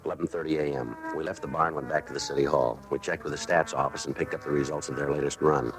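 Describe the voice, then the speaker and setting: An American actor playing a terse, businesslike police detective who narrates and questions in clipped sentences in a 1950s radio crime drama. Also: male voice, a bass voice, fast, over music. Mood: deadpan. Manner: clipped, deadpan, matter-of-fact. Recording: old radio recording, faint noise.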